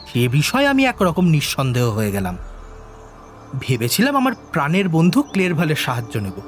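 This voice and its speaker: A man acting an obsessed, secretive scientist in a Bengali audio drama, confessional and emphatic. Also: sits high. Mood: confiding, fervent. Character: obsessed male scientist, intense, secretive, driven to create life. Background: background music.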